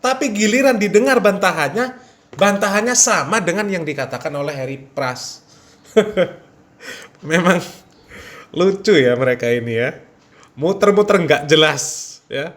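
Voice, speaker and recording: A man's voice: high voice, expressive, unhurried, long pauses, a bit bright. A man hosting a channel, giving casual religious commentary to viewers in a mocking, amused way.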